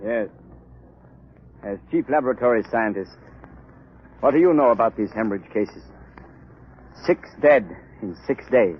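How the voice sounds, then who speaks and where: An actor playing a resolute, earnest chief doctor in a 1930s radio drama on an old recording. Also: male voice, medium pitch, expressive, measured pace, long pauses, slightly rough, muffled recording. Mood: grave, determined.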